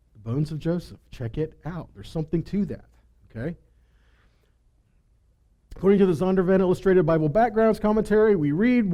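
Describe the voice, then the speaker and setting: A man delivers a teaching sermon in a high-pitched voice with a soft, mellow tone. He moves between reading scripture and explaining it, often posing rhetorical questions and checking in with his listeners. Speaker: Bible teacher.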